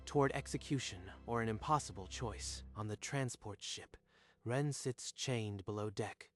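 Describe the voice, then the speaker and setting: A man's voice: lowish voice, a little slow. Male narrator with dramatic delivery. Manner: dramatic storytelling. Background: faint music.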